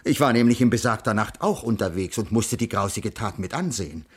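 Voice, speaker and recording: An actor playing a pedantic, earnest middle-aged ethics teacher with formal, stilted diction in a radio crime play. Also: male voice, fairly low pitch, expressive, fairly quick, husky. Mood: dramatic, urgent. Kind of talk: 1980s German radio crime play acting.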